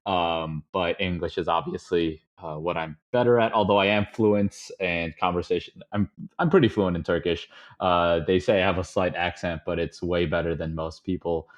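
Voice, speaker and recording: A young man from the Chicago suburbs, chatting as a podcast guest in a relaxed, rambling way with lots of fillers. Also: sits low, normal speed. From suburbs of Chicago, USA. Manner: relaxed, rambling, many fillers, chuckles often. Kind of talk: casual interview conversation. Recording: slightly dull lo-fi audio.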